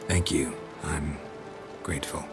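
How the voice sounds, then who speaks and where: Stoic fantasy game hero: deep low register, dry wit, restrained and understated. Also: male voice, measured pace, music in the background. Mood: stunned, grateful. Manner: deadpan, terse, restrained. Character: stoic, dry-witted monster hunter, quietly devoted. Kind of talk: understated video game cutscene acting.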